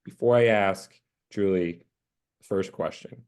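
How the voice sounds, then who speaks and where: A man hosting a webinar interview with a husky voice today, joking loosely and filling pauses with "uh". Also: low voice, darkish tone. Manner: jokey, loose, filler-heavy. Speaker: interview host.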